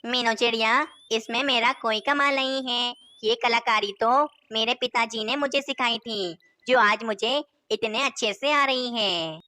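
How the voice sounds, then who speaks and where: A woman's voice: fairly high pitch, unhurried, few pauses, sharp tone, no echo. A woman playing a modest, polite craftsman bird in a children's cartoon.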